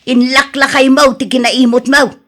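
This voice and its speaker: Exasperated farm wife, rather high voice, Ilocano accent, scolding, worried, exclamatory, radio drama. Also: female voice, flat delivery, normal speed, fairly bright tone. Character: exasperated, nagging, worried wife of stingy farmer.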